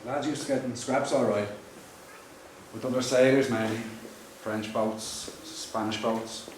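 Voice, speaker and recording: A man's voice: mid-range voice, steady tone, measured pace, a little hiss or hum, natural voice. An actor playing a blunt, plain-spoken middle-aged Irish fisherman with an Irish accent. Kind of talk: audio drama acting. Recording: very echoey, distant from mic.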